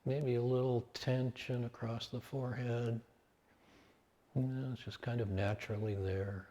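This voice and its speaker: A man leading a guided meditation, speaking calmly and in measured short phrases with long pauses between them. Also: mid-range voice.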